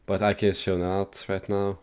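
Low-voiced man rambling through a tutorial. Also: monotone, normal speed, darkish tone, clear, resonant tone. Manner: rambling, loose, many fillers. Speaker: gaming channel host. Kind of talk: casual software tutorial.

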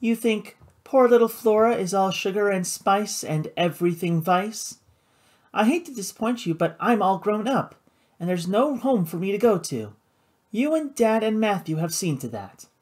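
A woman's voice: mid-range voice, expressive, moderate pace, darkish tone, pitched above the performer's own voice, close to an everyday voice. A woman voicing a playful, defiant young socialite sister in theatrical audiobook dialogue. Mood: bitterly sardonic. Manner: teasing, theatrical.

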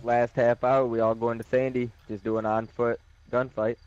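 A man's voice: mid-range voice, flat delivery, normal speed, a little mellow, clean tone, no echo. A man chatting casually as a player in a group gaming voice chat. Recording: dull lo-fi voice chat.

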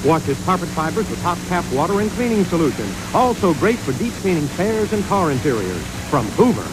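A man's voice: sits high, sing-song, brisk, background noise. An American man reading a scripted promotional voice-over as a sponsor announcer. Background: music.

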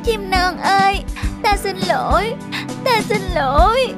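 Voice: very high-pitched, animated, slow, over loud music, full character voice. An actor voicing an eager, kind young pupil in a children's cartoon fairy tale, earnest and exclamatory. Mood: distressed, pleading. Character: eager, kind young magical pupil guarding bird eggs.

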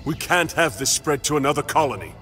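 A man playing a gruff, blunt, hot-tempered armoured soldier in a video game, with terse delivery. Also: on the high side, expressive, quick pace, bright, forceful tone, soft background music. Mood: grim, resolved. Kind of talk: video game character dialogue.

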